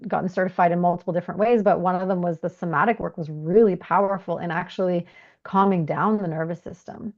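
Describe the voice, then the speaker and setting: A woman from Canada hosting a conversational podcast, reflective and affirming in an expressive way. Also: fairly low pitch, quick pace, lo-fi sound. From Canada, moved there from Bulgaria aged three. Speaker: podcast host. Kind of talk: warm conversational podcast interview.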